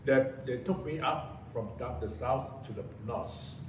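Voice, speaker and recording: A man in his seventies from Malaysia, giving a personal testimony in a halting way, often restarting and correcting himself. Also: medium pitch. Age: 70s. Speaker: interviewee recounting his past. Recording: roomy echo from a distant mic, noisy background.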